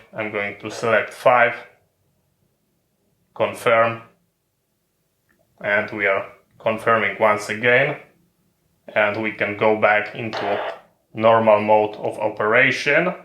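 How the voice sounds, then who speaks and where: Slow, bright-voiced man giving step-by-step instructions. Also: on the low side, echoey room. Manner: methodical, repetitive phrasing in short separated steps. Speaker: tech channel presenter giving a tutorial. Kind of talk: step-by-step instructional narration of a hardware demonstration.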